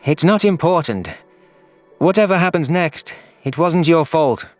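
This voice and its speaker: A man's voice: fairly high pitch, animated, a little slow, no echo, faint music behind, voice pushed up. A man voicing an anguished, vengeful young furry alien who narrates his own audio story in an earnest, solemn, dramatic way. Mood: pleading.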